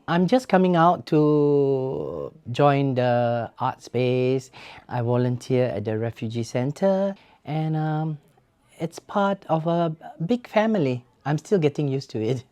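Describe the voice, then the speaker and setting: A speaker from Malaysia with a mellow tone, talking plainly about their life. The telling is matter-of-fact, with the odd filler. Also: mid-range voice, expressive, takes it slowly. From Penang, Malaysia. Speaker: local resident, refugee centre volunteer. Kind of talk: personal account.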